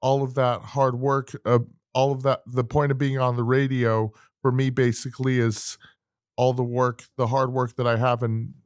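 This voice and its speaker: A man co-hosting a podcast in casual chat, earnest and halting, often repeating words and starting over. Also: mid-range voice, little pitch movement, smooth, no echo, studio quality. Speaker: podcast co-host.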